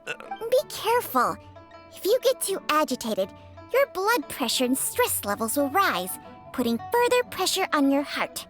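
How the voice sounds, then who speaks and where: A woman voicing a wise old female snake spirit in a game, speaking in a calm, measured, cautionary way. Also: sits high, faint music behind, full character voice. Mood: cautioning. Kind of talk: game voice acting.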